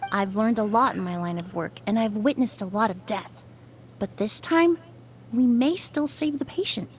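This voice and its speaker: A woman playing a composed, thoughtful young perfumer in a video game, speaking in a measured, precise, calm way. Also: mid-range voice, slight background noise, natural voice. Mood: concerned.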